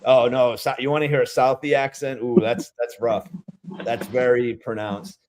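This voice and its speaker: A man's voice: medium pitch, little pitch movement, normal speed, background noise. A man with a Rhode Island accent, chatting casually on a livestream as a beer-review vlogger, rambling a little as he talks. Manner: chatty, rambling, reads out viewer comments.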